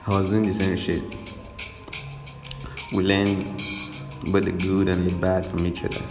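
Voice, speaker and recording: A man's voice: deep voice, little pitch movement, unhurried, music in the background. A young Nigerian man hosting a podcast, telling his own life story in a loose, slangy, reflective way. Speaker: podcast host. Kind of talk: personal storytelling monologue.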